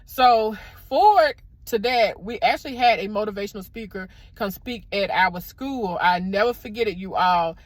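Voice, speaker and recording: Middle-aged woman, motivational vlogger, loud deep voice, dry close lapel sound. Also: moderate pace, few pauses, dry recording. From American South. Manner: warm preacherly encouragement, addresses viewers as y'all. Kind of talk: casual motivational talk to camera. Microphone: clip-on microphone.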